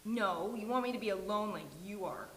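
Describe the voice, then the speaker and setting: Woman doing sharp-tongued upset bride, sitcom read, bright and forceful, roomy live sound. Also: medium pitch, brisk, a little hiss or hum, natural voice. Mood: upset, indignant.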